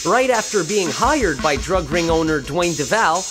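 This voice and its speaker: A radio play narrator delivers a scripted recap in a medium-pitched voice. The intonation moves up and down a lot, and music plays clearly behind it. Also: expressive, measured pace. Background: prominent music.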